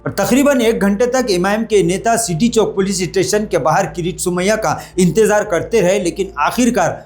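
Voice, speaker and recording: A man's voice: sits high, normal speed. A man presenting a news report, speaking in formal, Urdu-flavoured diction.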